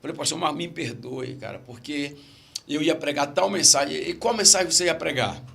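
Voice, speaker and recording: A man's voice: fairly high pitch, brisk, vocal fry, fairly bright tone. A middle-aged man from Brazil, a pastor with a stammer, telling stories in casual podcast chat and repeating his key phrases.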